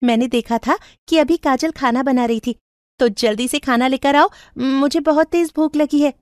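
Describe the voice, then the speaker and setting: A woman voicing a rich, warm-hearted, bossy young woman in storybook cartoon dialogue, animated and affectionate. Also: high voice, normal speed, no echo, studio quality, natural voice. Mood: playfully insistent.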